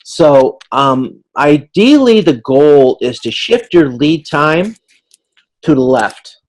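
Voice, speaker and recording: Halting, conversational male instructor. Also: fairly high pitch, sing-song, low-quality recording. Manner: halting, conversational, thinking aloud with everyday examples. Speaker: instructor leading a class video conference. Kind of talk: informal explanatory lecture.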